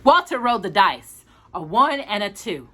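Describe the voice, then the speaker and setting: A woman reading a children's picture book aloud in expressive storytime narration. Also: medium pitch, moderate pace, a little hiss or hum.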